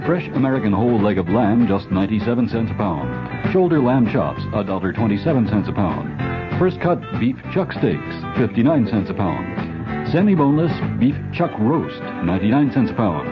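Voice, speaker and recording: A man's voice: lowish voice, big pitch swings, normal speed. A man announcing a 1970s radio commercial with punchy delivery. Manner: punchy price listing. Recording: dull old radio broadcast. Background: music with guitar.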